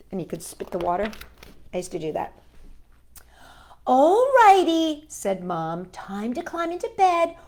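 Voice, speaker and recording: A woman hosting a children's storytime, reading aloud and chatting in a playful, expressive way and putting questions to her young listeners. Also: a little high. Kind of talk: animated read-aloud and chat for young children.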